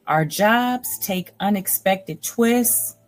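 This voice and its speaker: A woman host reading nonfiction aloud in a deliberate, earnest, instructive manner. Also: medium pitch. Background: music bed.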